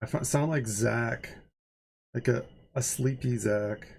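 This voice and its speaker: Man, stuffed-up nasal voice, gravelly fry, rambling offhand livestream chat. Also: mid-range voice, slow pace, a little mellow. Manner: rambling, offhand, swears casually. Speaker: art streamer. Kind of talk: casual livestream chat while drawing.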